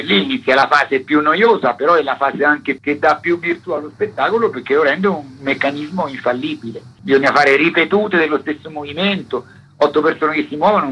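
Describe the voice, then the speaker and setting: A man being interviewed as a theatre performer, explaining his work emphatically in long, wordy sentences with a husky voice. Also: a little high, dull audio. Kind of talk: reflective interview talk. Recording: radio interview broadcast.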